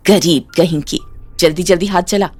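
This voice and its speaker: A woman's voice: fairly low pitch, fairly even intonation, moderate pace, a little mellow, dry recording, soft background music, close to an everyday voice. Woman acting a taunting, spiteful sister-in-law. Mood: mocking spite. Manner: taunting, sneering. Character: spiteful, mocking elder sister-in-law villain.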